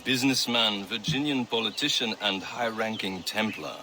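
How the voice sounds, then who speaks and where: An actor playing an authoritative leader of a secret brotherhood, speaking deliberately in a video game drama. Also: male voice, on the low side, noisy background, lightly acted. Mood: commanding. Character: commanding male leader of an assassin brotherhood.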